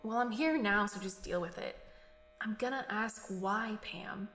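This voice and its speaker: An actress playing a skeptical young friend in an audio drama, questioning and sardonic. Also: female voice, medium pitch, measured pace, fairly bright tone, a little hiss or hum. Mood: earnest, insistent. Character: skeptical, wisecracking young friend tagging along on a mission. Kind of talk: naturalistic audio drama acting. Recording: roomy echo with music behind.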